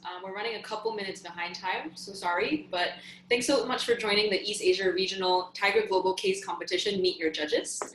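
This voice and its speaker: A woman's voice: medium pitch, roomy sound, slight background noise, rough recording. A woman from Singapore, hosting a video call and introducing judges, upbeat and full of "um" fillers. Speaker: host.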